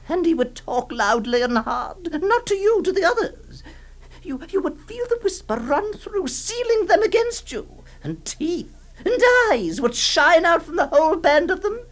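A woman acting a doting, possessive aunt who comforts a young girl in a hushed, tremulous, pleading voice, in a dramatic monologue. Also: very high voice, sing-song, unhurried, full character voice. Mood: ominous.

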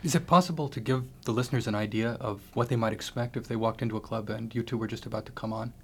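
A man's voice: mid-range voice, fairly even intonation, quick pace, fairly bright tone, husky. A man interviewing guests on the radio, often repeating words as he starts his questions.

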